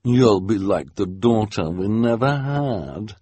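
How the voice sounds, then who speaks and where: A man voicing a vain, villainous glamorous woman who flatters in a drawn-out, affected way for a storybook. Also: on the low side, husky, dry recording, exaggerated voice. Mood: gushing, syrupy.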